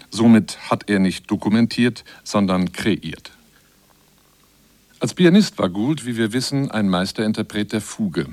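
A man's voice: fairly low pitch, darkish tone, no echo. A man reading scripted narration for a German radio feature as its presenter.

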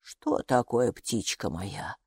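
A woman's voice: low-pitched, sing-song, normal speed, husky, no room sound, clean studio sound, heavily acted. Woman voicing an anxious, motherly storybook gatekeeper creature. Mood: alarmed, puzzled. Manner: fretful, doting, repeats words in lament. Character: anxious, motherly green-skinned female underground gatekeeper creature. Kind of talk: children's audiobook character voice.

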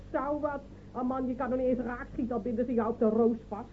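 A woman's voice: a little high, a little fast, a little hiss or hum, natural voice. An actress playing a fierce, scornful village woman in a radio drama, mocking and scolding. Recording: tinny band-limited old radio recording, crowded.

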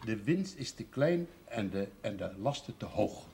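A man's voice: lowish voice, sing-song, measured pace. An actor playing a proud, stubborn middle-aged brewer and father in a television drama, with a blunt, blustering manner. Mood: grim. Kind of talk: television period drama acting. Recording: noisy old television soundtrack.

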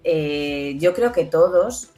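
A woman with a Castilian Spanish accent and a low voice chats as a guest in a casual interview. She sounds enthusiastic and often seeks agreement with short tag questions. Faint music plays behind her. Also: lively intonation, takes it slowly, warm tone. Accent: Spain. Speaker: podcast producer and event presenter, interview guest.

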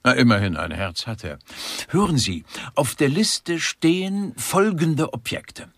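An actor playing a pompous, touchy intellectual who lectures emphatically in a satirical radio crime drama. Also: male voice, mid-range voice, animated, unhurried, fairly bright tone, no echo, studio quality. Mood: pompous, emphatic.

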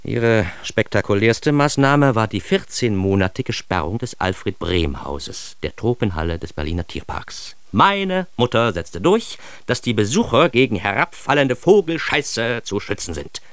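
A man's voice: on the low side, sing-song, unhurried, hardly any pauses, clean tone, no room sound. An actor playing an ironic, self-important man who narrates his childhood in a radio drama, with wry, mock-grandiose emphasis. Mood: mock-proud. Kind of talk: scripted first-person radio drama narration.

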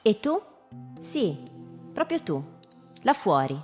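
An actress playing a woman who questions children gently and insistently in short probing questions, in a live radio drama. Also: on the high side, very animated, takes it slowly. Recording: reverberant hall with faint music. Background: faint music.

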